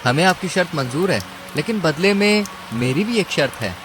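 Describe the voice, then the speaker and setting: A man's voice: fairly high pitch, lively intonation, normal speed, lightly acted. A man voicing a worried, polite male mouse in a cartoon story, earnest and pleading. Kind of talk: Hindi cartoon story dialogue. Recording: clear rain and ambience noise.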